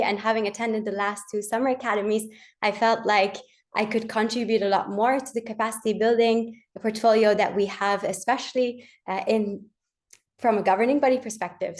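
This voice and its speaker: A young woman from Turkey, giving a fluent, organized self-introduction as an election candidate over a video call. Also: mid-range voice.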